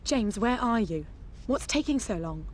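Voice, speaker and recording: A woman's voice: mid-range voice, animated, a little slow, a little hiss or hum, natural voice. A woman playing a poised young agent who grows anxious under danger, in a cinematic action game. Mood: composed.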